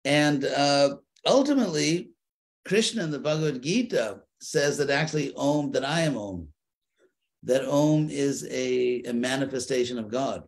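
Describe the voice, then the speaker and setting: A man of middle pitch leads a study group, answering questions at length. He thinks aloud, stalling and repeating words before landing on his point, and keeps a light touch of humor as he explains. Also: animated, unhurried. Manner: thoughtful, restarts and repeats words while searching for phrasing. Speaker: spiritual teacher.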